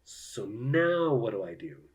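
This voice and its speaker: A man's voice: medium pitch, sing-song, slow pace. A man giving casual live commentary on a solo board game, thinking aloud and explaining each move to viewers.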